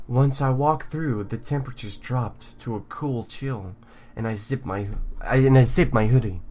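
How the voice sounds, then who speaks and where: Playful male gamer reading aloud over music. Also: mid-range voice. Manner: jokey, stumbles over words and repeats them for effect. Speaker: let's play host. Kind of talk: playful game commentary mixed with reading visual novel text aloud.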